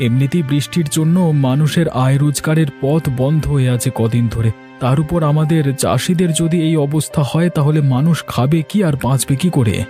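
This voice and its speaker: A man plays a poor village fisherman and farmer in a cartoon tale. His voice sits a little high and has a soft, mellow tone. He sounds plaintive and worried, with faint music behind him. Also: brisk, hardly any pauses, lightly acted. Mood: lamenting, anxious. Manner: plaintive, lamenting. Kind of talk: cartoon story dialogue.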